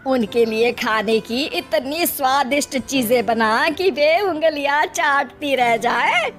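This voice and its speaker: Woman acting a high, bossy middle-aged mother-in-law. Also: slow, a bit bright, soft background music. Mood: coaxing, bossy. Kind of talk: animated Hindi moral story voice acting.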